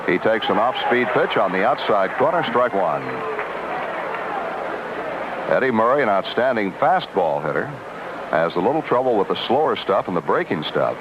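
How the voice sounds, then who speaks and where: A male baseball play-by-play announcer comes through a dull old radio broadcast with heavy noise. His medium-pitched delivery swings up and down as he calls each pitch live. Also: big pitch swings, brisk. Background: stadium ambience and loud noise.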